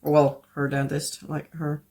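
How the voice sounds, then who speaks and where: Slow-talking Dutch woman rambling. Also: low voice, completely flat delivery, gravelly, mellow. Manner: rambling, searches for words, laughs often. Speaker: craft vlogger. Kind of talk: casual chat while crafting. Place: home.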